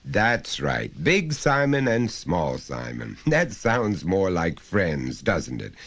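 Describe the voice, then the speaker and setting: A man's voice: low voice, sing-song, slow, gravelly, fairly bright tone, full character voice. A man voicing an overbearing, falsely jovial middle-aged father in a short story, with a precise, pompous, lecturing manner.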